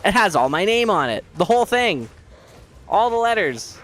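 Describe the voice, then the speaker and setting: A man streaming a game who chats casually and jokes at his own expense with viewers, hoarse from losing his voice. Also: high voice, big pitch swings, moderate pace, a bit bright. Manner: rambling, self-mocking, joking with viewers. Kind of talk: casual chat while playing.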